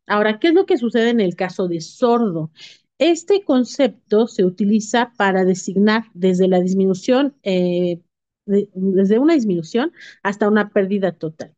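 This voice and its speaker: A woman teaching a class, explaining terms in a steady, patient way with occasional fillers and self-corrections. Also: mid-range voice, moderate pace, dry recording, lo-fi sound.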